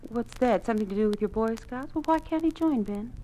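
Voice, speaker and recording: Actress as placating American wife and mother, animated, reasoning tone, 1950s radio acting on an old recording. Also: fairly high pitch, fairly quick, slight background noise, lightly acted. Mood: bright, curious. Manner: soothing, reasoning. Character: placating American mother, anxious to accept and get along.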